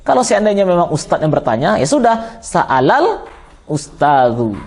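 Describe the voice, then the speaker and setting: Male religious-school teacher, Minangkabau Indonesian accent, high voice, didactic question-and-answer delivery. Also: big pitch swings, normal speed, a little mellow. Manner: didactic, repeats and quizzes listeners. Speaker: Islamic teacher of Arabic grammar. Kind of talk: classroom lesson, explaining with questions to students.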